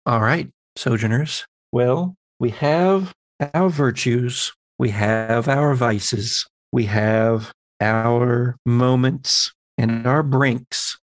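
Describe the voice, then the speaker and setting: A man's voice: medium pitch, very slow, few pauses. A man acting as game master, explaining the rules to his players and guiding them with questions. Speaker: game master and podcast host. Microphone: close personal microphone on a remote group call.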